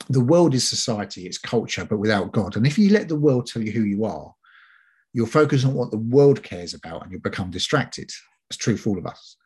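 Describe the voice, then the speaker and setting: A man's voice: medium pitch, darkish tone, husky. A man giving an informal, conversational sermon, explaining in a chatty, hesitant way and often repeating himself.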